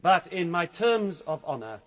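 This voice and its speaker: A man's voice: high-pitched, sing-song, a little slow, a bit bright, exaggerated voice. An actor playing a proud, aggrieved young nobleman in a Shakespearean radio drama, declamatory and stiffly formal.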